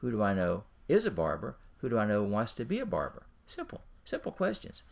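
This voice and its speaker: A man's voice: on the low side, wildly swooping pitch, fairly quick, creaky, a little mellow. A man giving an informal instructional talk as a coach, speaking directly to the listener and repeating his phrases. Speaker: ministry and business coach.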